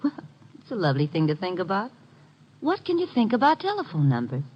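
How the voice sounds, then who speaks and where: A woman's voice: medium pitch, huge pitch swings, slight background noise. An actress playing a coy, gushing young woman writer in 1950s radio drama style. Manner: coquettish, gushing, hesitant pauses. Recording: dull vintage radio recording.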